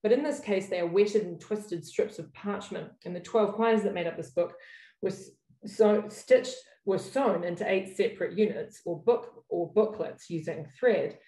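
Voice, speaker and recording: A woman's voice: medium pitch, normal speed, dark tone. A middle-aged woman with a New Zealand accent gives a scholarly lecture over slides, thoughtful, with frequent ums and self-corrections. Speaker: academic speaker opening a workshop. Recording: roomy echoey sound.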